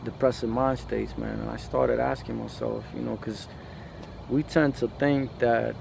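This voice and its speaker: A man from a post-Soviet country speaking in a casual, reflective monologue, halting and repeating himself, with lots of slangy filler. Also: mid-range voice, flat delivery, vocal fry, background noise. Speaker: podcast host.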